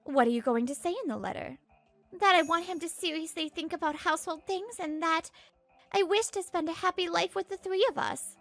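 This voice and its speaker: A high-voiced, earnest wife played by a woman narrates her home life in a manga dub. She sounds fed up yet hopeful, with music running underneath. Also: brisk, a bit bright. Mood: resolute.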